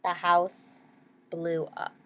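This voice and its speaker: A woman reading a children's picture book aloud expressively, pausing to explain words and ask young listeners questions. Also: fairly low pitch, monotone. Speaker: read-aloud storyteller. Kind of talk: expressive children's story narration.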